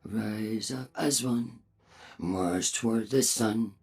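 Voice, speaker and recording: A woman playing a stern robot faction leader in a game, speaking formally and deliberately in a husky, gravelly voice. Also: extremely low pitch, a little mellow, soft background music, exaggerated voice. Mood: smoothly menacing. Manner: deliberate, formal, coolly persuasive. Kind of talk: dramatic game monologue.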